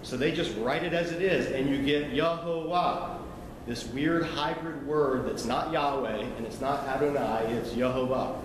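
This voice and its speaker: A man's voice: medium pitch, normal speed, hardly any pauses. Man preaching in an echoey hall. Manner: conversational, explanatory, asides to listeners. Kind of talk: sermon teaching. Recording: echoey hall, noisy ambience.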